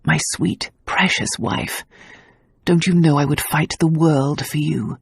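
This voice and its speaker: Gruff devoted husband, lowered voice, dry close read, as a woman narrator puts him on. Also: fairly even intonation, moderate pace, a little mellow, dry recording. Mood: tender. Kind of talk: audiobook character voice.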